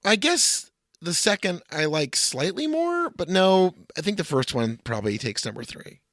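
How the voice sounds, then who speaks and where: A man's voice: high-pitched, big pitch swings, normal speed, fairly bright tone, no echo, clean studio sound. A man co-hosting a podcast, talking casually as he reviews and ranks films. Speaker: podcast co-host. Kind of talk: casual film review chat.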